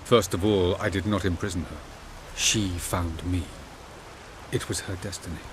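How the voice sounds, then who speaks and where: An actor voicing a haughty, calculating old elf sage in a video game, speaking in a formal, imperious and measured way. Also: male voice, deep voice, steady tone, noisy background, lightly acted. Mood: grave, superior. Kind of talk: dramatic video game dialogue.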